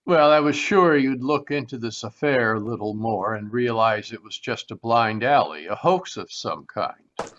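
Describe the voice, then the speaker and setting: A man acting a formal, evasive, dismissive gentleman in an old-time radio mystery, heard over a dull video call recording. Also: medium pitch, expressive, unhurried. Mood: guarded politeness. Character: smooth, evasive man fending off a detective. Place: remote live video call performance.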